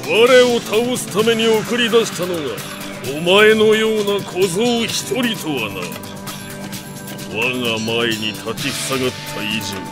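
An actor playing an arrogant, mighty warlord in an anime drama CD, with an imperious, scornful and grandiose manner. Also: male voice, high voice, lively intonation, a little slow. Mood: scornful, menacing. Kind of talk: anime game drama CD acting. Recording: music behind the voice.

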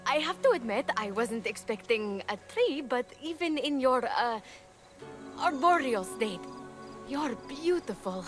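Young game heroine: earnest, awkward, excitable, very lively intonation, music underneath. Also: female voice, sits high, unhurried. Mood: starstruck, awkward. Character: eager, awkward young heroine, a starstruck mortal woman. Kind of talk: video game character acting.

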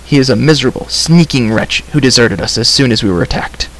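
A man's voice: medium pitch, quick pace, close to an everyday voice, poor audio. A man playing an earnest, impatient young hero in a storybook, speaking bluntly and with command. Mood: angry, scornful. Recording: clear background hiss.

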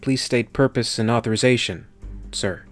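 A man's voice: medium pitch, normal speed, faint music behind, natural voice. A man voicing a very young, nervous military lieutenant in an audiobook, deferential and hesitant. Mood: nervous, polite. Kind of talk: audiobook character dialogue.

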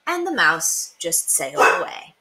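Young lady reading kids books, super sing-song, takes her time, brightish. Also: on the low side, very animated, unhurried, very noisy. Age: young adult. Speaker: children's read-aloud storyteller. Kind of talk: expressive storybook reading. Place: small indoor room.

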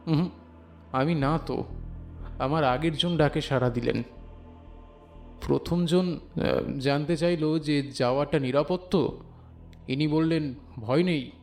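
A man's voice: fairly high pitch, takes it slowly, pauses often, a little mellow, soft background music. A man playing an earnest, shy fifteen-year-old village schoolboy who speaks hesitantly and respectfully in an audio drama. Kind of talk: dramatised audio story dialogue.

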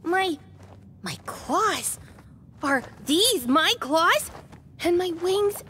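A woman's voice: extremely high pitch, lively intonation, very slow, background noise, full character voice. A woman voicing a young, innocent dragon in a video game, hesitant and wide-eyed like a child. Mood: bewildered. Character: young timid dragon newly turned small and cute, innocent and eager.

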